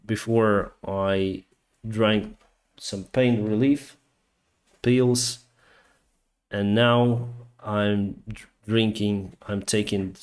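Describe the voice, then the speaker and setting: A man from Ukraine with a Ukrainian accent, hosting a casual live chat and answering viewers in a hesitant, halting way. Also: lowish voice. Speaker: live stream host, airline pilot.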